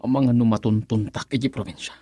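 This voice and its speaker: Actor playing menacing man, husky voice, dry sound, commanding, taunting, radio drama. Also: medium pitch, fairly even intonation, quick pace, warm tone, no room sound, lightly acted. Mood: agitated, scheming.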